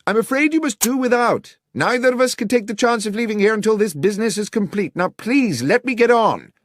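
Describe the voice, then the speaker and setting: Male reader as brilliant British detective: grave, brisk, precise, animated intonation, audiobook drama. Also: high-pitched, pitched above the performer's own voice. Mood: calling out, firm. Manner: authoritative, precise, formal.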